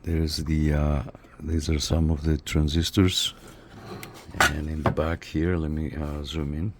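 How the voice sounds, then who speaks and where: A man's voice: a bass voice, level tone, a little slow. A man from Canada talking casually as he reviews and operates radio gear, often repeating short phrases. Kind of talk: casual hands-on product review. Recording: clear background noise.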